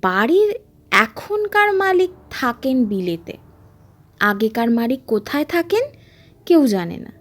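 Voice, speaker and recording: A woman voicing an eerie, ancient male caretaker in a horror audio story, halting and ominous as he gives cryptic warnings. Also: high voice, very animated, a bit bright, exaggerated voice.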